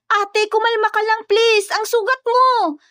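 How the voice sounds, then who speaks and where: An anxious, caring kid sister, acted by a female storyteller in an audio romance drama. She speaks in a high, excitable, pleading voice, recorded dry. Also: a little slow, no echo. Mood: frantic.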